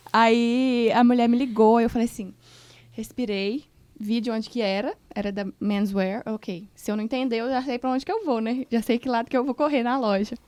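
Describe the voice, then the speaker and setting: A woman co-hosting a radio show who tells her stories in an expressive, dramatic way. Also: a little high. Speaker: radio co-host. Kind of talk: casual chat. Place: radio studio.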